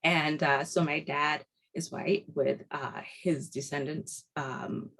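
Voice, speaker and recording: A woman from Barbados giving an earnest webinar lecture over a video call, often pausing on ums and restarting her sentences. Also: low-pitched, fairly even intonation, fairly bright tone. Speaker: guest lecturer.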